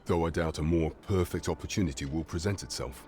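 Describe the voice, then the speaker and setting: A grave courtly advisor from a fantasy game, with an extremely deep voice. He speaks loyally and formally, in measured archaic phrases, over music. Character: grave, courtly, loyal male royal official. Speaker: actor voicing a royal advisor. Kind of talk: scripted fantasy game dialogue.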